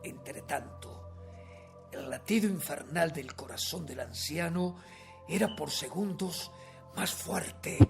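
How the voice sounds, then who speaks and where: Obsessive nervous killer, Uruguayan accent, radio horror tale, slow theatrical delivery, gravelly creak. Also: male voice, a little high, soft background music. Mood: hushed tension. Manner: theatrical, drawn-out, swinging between hushed and forceful. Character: nervous, obsessive male murderer insisting he is sane. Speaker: radio theatre actor narrating. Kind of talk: dramatic first-person horror story reading, radio theatre acting.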